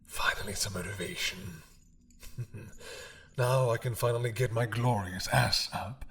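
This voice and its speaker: A man playing a possessive, doting mad dragon king in a romantic roleplay drama, with a husky, breathy voice that often drops to a whisper and a regal, seductive manner. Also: medium pitch, fairly bright tone, a little hiss or hum. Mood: sardonic, possessive. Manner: slow, regal, seductive purring menace.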